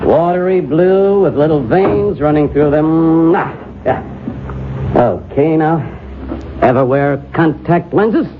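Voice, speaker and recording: A man's voice: a little high, slow. An actor playing an easygoing male makeup artist in a 1970s radio drama, chatty and muttering to himself as he works. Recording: thin phone-like old radio with hiss.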